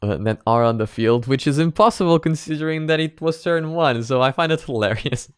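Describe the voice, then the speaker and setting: Man, reaction video host, casual analysis, smooth clear voice, dry recording, hesitant, rephrases often. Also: on the high side, brisk, no echo.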